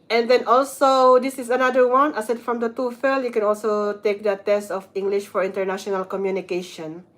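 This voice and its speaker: A woman with a Filipino accent, a nurse giving casual advice in a vlog, switching between Tagalog and English and reading some parts aloud. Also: medium pitch. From Philippines. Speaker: vlogger, nurse.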